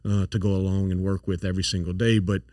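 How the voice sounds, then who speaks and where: South louisiana man, forties, lowish voice, earnest with lots of uh's and restarts, dry room a bit off mic. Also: little pitch movement, quick pace, warm tone, no room sound, distant mic. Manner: earnest, many fillers and restarts. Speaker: company founder, guest. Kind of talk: casual interview talk.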